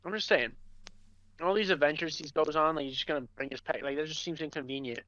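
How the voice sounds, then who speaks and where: A man's voice: fairly high pitch, fast, fairly bright tone, no room sound. A man co-hosting a podcast with casual, blunt, slangy banter and free swearing, on a slightly dull microphone. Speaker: podcast co-host.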